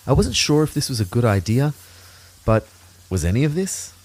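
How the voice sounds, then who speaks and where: A man's voice: medium pitch, very animated, normal speed, a little mellow. A man playing an anxious, introspective man who narrates his own story in a reflective, wry way for an audio drama. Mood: worried. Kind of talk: first-person scripted audio drama narration.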